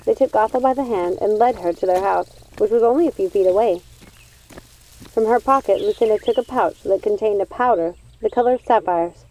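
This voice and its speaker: A woman reading a storybook aloud as an expressive audiobook narrator. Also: mid-range voice, brisk, poor audio.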